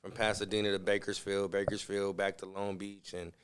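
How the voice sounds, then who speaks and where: A man's voice: lowish voice, little pitch movement, a little fast, clean tone. A man from Southern California giving casual interview answers in a laid-back, slangy way. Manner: laid-back, slangy, often says "you feel me". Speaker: interview guest, musician and entrepreneur.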